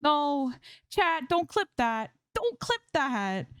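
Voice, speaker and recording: A woman's voice: high voice, big pitch swings, slow, a bit bright, no echo, clean studio sound, pitched above the performer's own voice, exaggerated voice. A woman voicing a bubbly, easily flustered young female streamer in a fan fiction reading, playful and informal. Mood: flustered protest.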